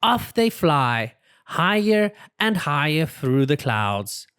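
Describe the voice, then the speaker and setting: An expressive storybook narrator for young children speaks at a slow, careful pace with a very lively range. The delivery is deliberate and clearly shaped for reading aloud, in a dry recording. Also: mid-range voice, dry recording. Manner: expressive, deliberate, voices the characters. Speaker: storybook reader.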